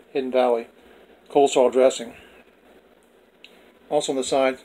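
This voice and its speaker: A man's voice: mid-range voice, a little mellow, low-quality recording. A man hosting a homemade cooking video, talking casually in a plainspoken, folksy way. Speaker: host of a home cooking video. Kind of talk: casual vlog narration.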